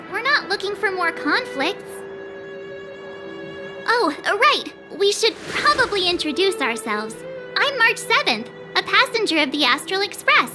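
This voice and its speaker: A very high, lively girlish voice over prominent game music. This teenage traveler is bubbly and talkative, with a playful, teasing streak. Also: heavily acted. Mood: flustered, lively. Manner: chatty, expressive, playful. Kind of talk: video game cutscene acting.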